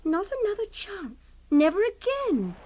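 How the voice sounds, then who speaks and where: A woman's voice: very high voice, sing-song, a little slow, darkish tone, slight background noise, exaggerated voice. An actress playing a clinging, melodramatic wife in her thirties in a radio drama, pleading and sighing theatrically. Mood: grieving, regretful.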